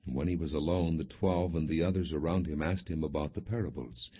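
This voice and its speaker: Male scripture narrator: low, husky, solemn and measured; dull, band-limited audio. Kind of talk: scripted narration, non-dramatized scripture reading.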